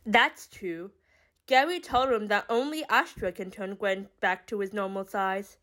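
A woman playing a brisk, blunt, excitable young troublemaker in an audio drama. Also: medium pitch, natural voice. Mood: alarmed, blunt.

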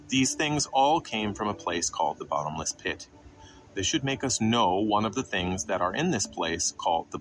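A man with a fairly low voice delivers a scripted scripture lesson. He is didactic, setting out questions and answering them himself. There is clear background noise behind his voice. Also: a bit bright, low-quality recording. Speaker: Bible teacher narrating a podcast.

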